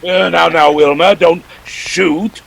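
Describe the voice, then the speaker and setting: An actor playing a jovial but menacing older crime boss in a radio drama, florid and genteel with a gravelly, husky voice. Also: male voice, on the high side, fairly even intonation, slow, heavily acted, poor audio. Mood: amused, astonished. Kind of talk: 1940s radio drama acting.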